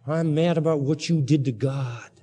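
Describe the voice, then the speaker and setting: A man's voice: on the high side, moderate pace, mellow, lightly acted. A man preaching as he voices a strong-willed yet self-doubting Hebrew prophet, emphatic and colloquial, in a sermon. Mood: indignant. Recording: reverberant hall sound.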